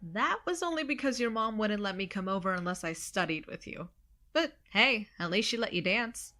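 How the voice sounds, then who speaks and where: A woman's voice: medium pitch, animated, normal speed, sharp tone. A young woman with an American accent playing a cocky, irreverent best friend who teases playfully in an audio drama. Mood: playful teasing. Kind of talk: naturalistic audio drama acting.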